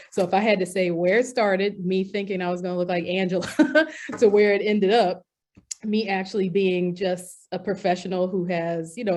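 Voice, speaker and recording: Reflective woman with a slightly low voice. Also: a little mellow. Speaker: panelist, advertising professional. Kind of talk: reflective conversational panel talk.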